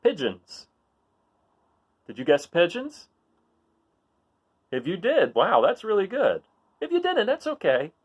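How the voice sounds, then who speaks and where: A man's voice: high voice, wildly swooping pitch, takes it slowly, pauses often, breathy edge, lo-fi sound. A man hosting a children's read-aloud, reading a picture book and chatting in an encouraging way, often putting questions to his listeners.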